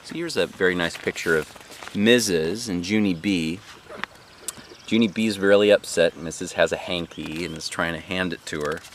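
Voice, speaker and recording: A man hosting a read-aloud, narrating a children's storybook in an animated style. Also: low-pitched, normal speed, slight background noise.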